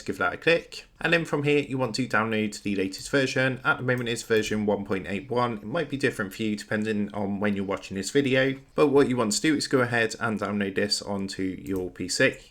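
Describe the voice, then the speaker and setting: A man narrating a step-by-step software tutorial as its host. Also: mid-range voice.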